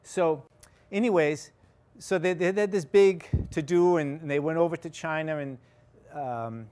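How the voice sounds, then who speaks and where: A man's voice: on the high side, unhurried, a little mellow, clean tone. An older man with a Boston accent, giving a casual guest lecture in a large hall and telling his story in a rambling way, full of restarts and fillers. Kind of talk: informal campus lecture.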